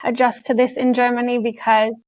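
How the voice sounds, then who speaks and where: Guest voice: young Canadian woman, chatty, fairly high, dry close sound. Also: flat delivery, moderate pace, a little mellow, no echo. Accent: Canadian English with an international European tinge. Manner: chatty, laughs often, many likes and you knows. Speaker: guest, content creator. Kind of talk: casual interview chat.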